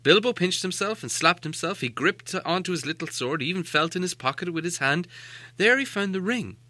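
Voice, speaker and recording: Audiobook narrator, male: dramatic, animated storytelling, very crisp bright edge, dry sound. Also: high voice, moderate pace, no room sound.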